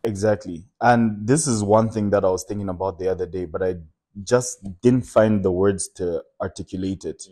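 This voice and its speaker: A young man from Zambia, a comedian guest telling stories in a relaxed podcast chat, with lots of fillers and laughter. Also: sits low, normal speed. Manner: storytelling, laughs often, many fillers.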